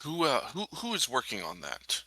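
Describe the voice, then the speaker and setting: A man playing a tabletop roleplaying game, thinking aloud casually in halting phrases. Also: mid-range voice, wildly swooping pitch, pushed and bright, no room sound.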